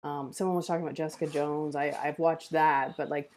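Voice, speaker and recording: A woman's voice: deep voice, fairly even intonation, a little fast, darkish tone. A woman hosting a livestream, chatting casually with the group. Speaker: table read host.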